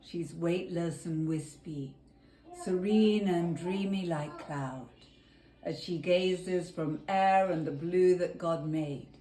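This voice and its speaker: A woman's voice: on the low side, darkish tone. A woman reading a poem aloud, line by line. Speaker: family member reading a poem. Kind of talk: poetry reading. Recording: echoey room, faint noise.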